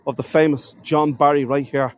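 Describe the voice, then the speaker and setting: Man, slightly high voice, stuffy with a cold, casual tour guide chatter, outdoors, dry sound. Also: level tone, normal speed, dry recording. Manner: chatty, greets viewers by name, addresses them as folks. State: has a cold, sniffly. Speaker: live-streaming walking tour guide. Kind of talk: casual live-stream tour narration. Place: outdoors in a town.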